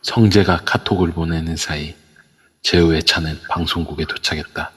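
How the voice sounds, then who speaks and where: A man narrating an amateur audio drama in steady storytelling form. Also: low voice, normal speed, husky. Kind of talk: scripted narration. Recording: reverb-heavy online audio.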